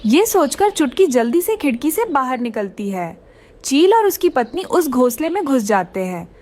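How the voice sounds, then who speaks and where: Female narrator, high voice, lively swooping intonation, scripted kids' story. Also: normal speed.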